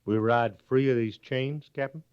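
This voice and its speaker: An actor playing a defiant, needling prisoner turned private in an old radio western, with a folksy drawl. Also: male voice, mid-range voice, fairly even intonation, normal speed, clean tone, dry recording, close to an everyday voice. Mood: sullen, needling. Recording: muffled vintage radio recording.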